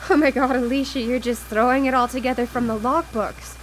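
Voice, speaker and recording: Woman acting high-voiced, desperate grieving widow. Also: fairly even intonation, a little fast, lightly acted. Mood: stunned, desperate. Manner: pleading, urgent. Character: grieving, obsessive widow desperate to reach her lost wife. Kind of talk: live radio drama acting. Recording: live broadcast with background noise.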